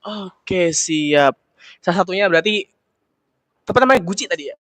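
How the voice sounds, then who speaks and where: A man's voice: sits high, animated, moderate pace, fairly bright tone, dry recording. A young man from Solo hosting a casual interview, upbeat and chatty, with a habit of saying "oke, siap". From Solo, Central Java. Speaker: interviewer host.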